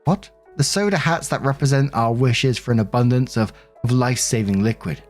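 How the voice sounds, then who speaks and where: A man's voice: medium pitch, fairly quick, soft background music, lightly acted. A man reading out the posts of an indignant, bossy bride in a self-righteous, emphatic manner. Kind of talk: dramatic reading of social media posts.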